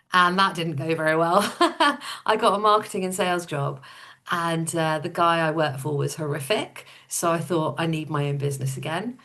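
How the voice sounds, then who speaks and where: A woman's voice: lowish voice, a bit bright. A woman guest on a podcast, telling her own story in a casual, matter-of-fact, informal way.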